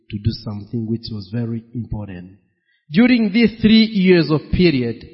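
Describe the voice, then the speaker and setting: A pastor preaching a sermon, slow and emphatic, repeating his key phrases. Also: medium pitch, husky. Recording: dull, echoey hall.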